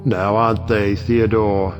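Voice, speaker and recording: An actor playing a wry, provocative middle-aged judge and uncle in an audio play, speaking in a rhetorical, oratorical way. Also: male voice, lowish voice, flat delivery, unhurried, natural voice. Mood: wry, teasing. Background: music.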